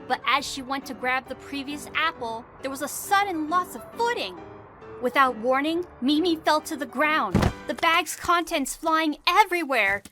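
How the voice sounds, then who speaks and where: A woman reading scripted storybook narration for an audio drama in an expressive, dramatic storytelling manner. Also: high voice. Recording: music behind the voice.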